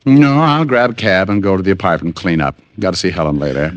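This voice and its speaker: An actor playing a sardonic private detective in an old radio drama, trading wisecracks and narrating in a hardboiled style. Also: male voice, sits low, fast talker, no echo, highs cut off, lightly acted. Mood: wry. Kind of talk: 1950s radio drama acting. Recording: old radio broadcast with music.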